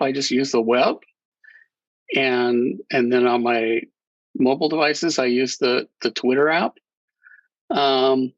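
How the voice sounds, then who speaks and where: A man's voice: medium pitch, gravelly, fairly bright tone, dry recording, dull audio. A man speaking as a guest on a casual panel, hesitant and full of restarts. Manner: hesitant, many fillers and restarts.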